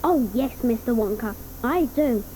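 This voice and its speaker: A woman's voice: a little high, lively intonation, measured pace, dark tone, slight background noise, low-quality recording. An actress voicing an eager, polite young boy in an audio drama, talking earnestly. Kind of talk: 1980s audio drama acting.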